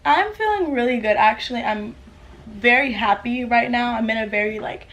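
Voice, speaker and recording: A woman's voice: on the high side, normal speed, fairly bright tone, low-quality recording. A woman in her late teens, from the US, answering viewer questions in a casual, upbeat vlog, full of "like" fillers. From United States. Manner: chatty, upbeat, lots of "like" fillers. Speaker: YouTuber. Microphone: camera mic with ring light.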